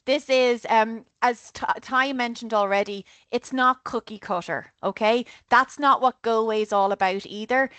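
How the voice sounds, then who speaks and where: A woman's voice: a little high, moderate pace, hardly any pauses, a bit bright, no room sound. A woman with an Irish accent, director of sales at a tour company, giving a chatty online group presentation and often checking in with "okay?". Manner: chatty, keeps adding "okay?".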